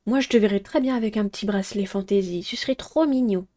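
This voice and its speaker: A woman's voice: medium pitch, fast, mellow. A young woman playing a visual novel, reading dialogue aloud and commenting in a playful, teasing way. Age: young adult.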